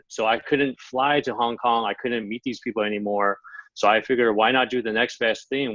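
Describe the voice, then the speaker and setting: A low-voiced American man in his thirties talks casually as a podcast guest, leaning on "um" and "you know" as he goes. His voice comes over a dull, dry line. The tone stays relaxed and conversational. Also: no echo. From United States (Los Angeles). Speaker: guest, Amazon seller and sourcing coach. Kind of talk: podcast interview, casual storytelling.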